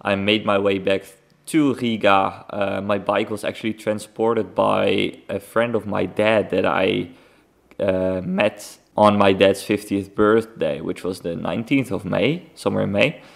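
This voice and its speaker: A young Dutch man hosts a casual solo podcast in a lowish, creaky voice. His English has a Dutch accent. He talks informally and rambles along as he gives an update. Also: fairly even intonation, a little slow. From Netherlands. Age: young adult. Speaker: podcast host.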